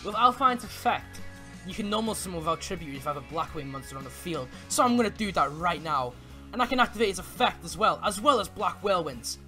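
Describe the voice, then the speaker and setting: A man's voice: high-pitched, animated, fast, pushed and bright. A man chatting casually in an improvised gaming roleplay, rambling and often repeating himself. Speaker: player in a Minecraft roleplay video. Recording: game music behind the voice.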